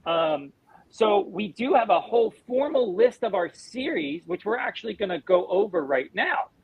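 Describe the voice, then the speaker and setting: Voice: mid-range voice, sing-song, moderate pace, breathy edge. A host casually moderating a live video chat and introducing the other speakers. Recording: tinny phone-like video call.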